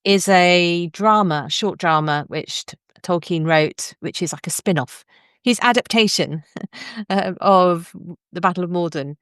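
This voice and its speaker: A woman's voice: on the low side, unhurried, fairly bright tone, smooth, no room sound, studio quality. A woman hosting a conversational literary interview, articulate and discursive, explaining things for non-specialist listeners. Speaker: podcast host interviewing an academic.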